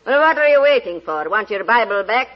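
A woman's voice: mid-range voice, lively intonation, a little fast, lightly acted. An actress playing a mean, grasping old woman pawnbroker, curt and suspicious, in 1940s radio style. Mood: impatient, harsh. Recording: muffled vintage radio recording.

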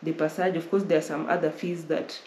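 A woman's voice: low-pitched, little pitch movement, fairly quick, darkish tone. Young Kenyan woman, casual vlog host, talks it through and rephrases, roomy living room sound. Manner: repeats and rephrases while explaining. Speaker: travel vlogger host. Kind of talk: casual Q&A advice. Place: indoor living room, on a sofa. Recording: roomy with faint noise.

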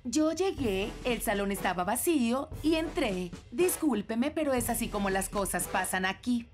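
A woman's voice: a little high, expressive, moderate pace, lightly acted. A woman voicing an assertive, unyielding young student party leader in a cartoon. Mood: defiant. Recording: music behind the voice.

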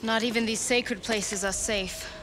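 An actress playing a solemn, commanding elf noblewoman and warrior, formal and measured, in a fantasy game. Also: a little high, fairly even intonation, background noise, natural voice. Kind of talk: dramatic game cutscene acting. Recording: music behind.

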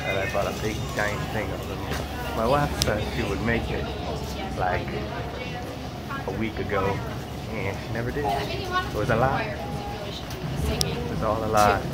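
A man's voice: on the low side, lively intonation, a little slow, long pauses, heavy background noise. A young man filming a family vlog in a supermarket, chatting casually with jokey, teasing banter. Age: young adult. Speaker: vlogger, father. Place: large supermarket, indoors. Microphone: handheld camera held by the filmer.

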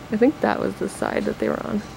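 A young woman chats through her road-trip vlog from a moving car, with lots of ums along the way. Her voice has a gravelly, creaky edge, and loud road noise runs under it. She films with a camera held at arm's length. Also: medium pitch, fairly even intonation, fairly quick. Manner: chatty, with many ums. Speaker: vlogger.

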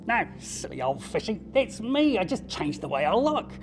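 A woman's voice: medium pitch, big pitch swings, normal speed, a little hiss or hum. A woman voicing a smug, sneaky male octopus in a puppet show. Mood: sly.

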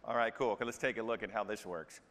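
A man's voice: fairly low pitch, fast talker, a bit bright. A man hosting a live product demo on stage, casual and joking, asking leading questions. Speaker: demo host. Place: large stage, hall. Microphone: lapel mic. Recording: reverberant hall.

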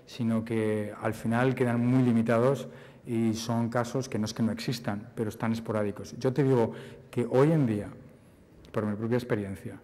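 A man's voice: mid-range voice, steady tone, moderate pace, mellow. Man speaking at a podium in a hall. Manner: thoughtful, repeats words while searching for phrasing. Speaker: conference speaker. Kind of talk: Q&A answer at a forum. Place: large banquet hall. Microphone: podium mic. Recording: hall reverb.

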